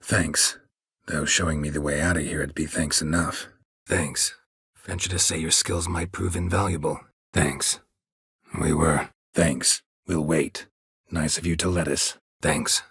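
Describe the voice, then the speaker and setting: An American man voicing a stoic, world-weary, middle-aged monster hunter in a video game, with a gravelly, husky voice and a deadpan, clipped delivery. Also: very deep voice, unhurried, no echo, clean studio sound, exaggerated voice. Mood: dry, polite. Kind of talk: video game character dialogue lines.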